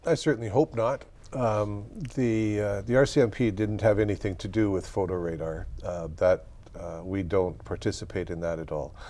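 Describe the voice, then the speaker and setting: Guy with a lowish mellow dark voice, measured interview answer.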